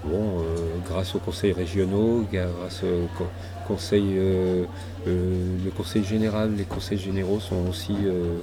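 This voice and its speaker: A man's voice: low-pitched, level tone, unhurried, over music. A middle-aged man from southwest France, talking in a rambling, hesitant way as an interview guest. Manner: hesitant, many fillers and restarts. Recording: noisy crowd around.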